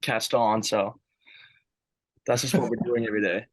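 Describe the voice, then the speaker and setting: Young guy from sweden, kinda low voice, says like a lot, dry close sound. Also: fairly even intonation, normal speed, dry recording, low-quality recording. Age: young adult. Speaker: student athlete. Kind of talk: casual interview answers.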